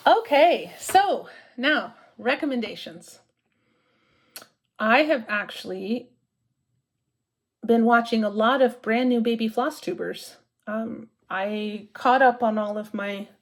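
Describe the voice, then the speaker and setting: A woman in her forties from Maine, chatting casually to camera as a cross-stitch vlogger and often rambling and correcting herself with lots of fillers. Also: mid-range voice.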